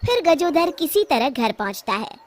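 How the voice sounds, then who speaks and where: A woman's voice: high voice, sing-song, normal speed. A woman narrating a scripted cartoon family story.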